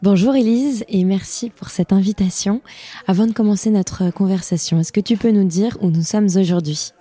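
A woman hosting an interview podcast, asking gentle, measured questions in a café-restaurant. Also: mid-range voice. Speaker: podcast host.

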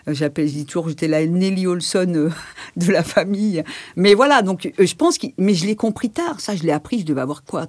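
A woman in her sixties born in France, recalling her life in a personal interview, pausing often with fillers and restarts. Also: on the low side, darkish tone, no echo, studio quality. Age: 60s. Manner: hesitant, reflective, many fillers and restarts. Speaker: interview guest.

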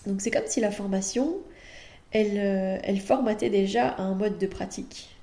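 A woman's voice: mid-range voice, normal speed, warm tone, echoey room. A woman from France with a French accent, hosting a podcast as a midwife and talking in a monologue.

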